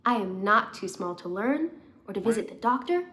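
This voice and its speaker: A woman's voice: mid-range voice, sing-song, moderate pace, bright, forceful tone. A young woman reading the part of a friendly little girl in a children's storybook, eager and simple in her explaining. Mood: proud, eager.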